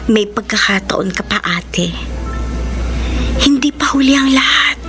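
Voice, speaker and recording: An actress playing a gentle, forgiving younger sister in a Filipino radio drama, a young adult with a soft, sincere manner and a Filipino accent. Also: female voice, on the high side, animated, a little slow. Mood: tender, encouraging. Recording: music bed under the voice.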